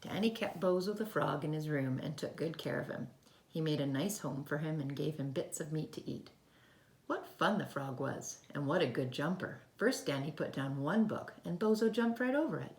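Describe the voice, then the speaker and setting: Female early-years storyteller, low creaky voice, simple child-directed delivery, roomy home recording. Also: expressive, moderate pace. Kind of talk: read-aloud storytelling for young children. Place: indoors at home.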